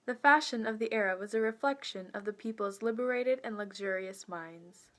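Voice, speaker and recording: Female fashion presenter, medium pitch, formal scripted delivery for a 1920s-style news report. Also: normal speed, fairly bright tone.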